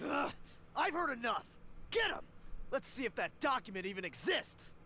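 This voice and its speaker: An actor playing a resentful, combative criminal henchman in a video game, sarcastic and quick to anger. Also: extremely high pitch, sing-song, sharp tone, a little hiss or hum, heavily acted. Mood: enraged. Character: hot-headed, resentful male criminal henchman. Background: music.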